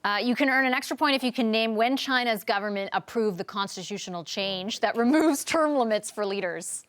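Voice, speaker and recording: A woman hosting a year-end news quiz in a TV studio, reading questions crisply with light laughs. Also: a little high, a little fast, a bit bright. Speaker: quiz show host. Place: TV studio with a panel.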